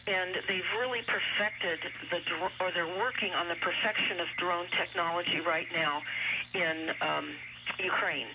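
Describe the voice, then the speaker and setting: A woman giving an earnest, emphatic explanatory talk on a shortwave radio broadcast, speaking deliberately. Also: fairly low pitch, steady tone. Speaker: radio show guest commentator. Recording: noisy shortwave radio broadcast, heavy static. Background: loud radio static and noise.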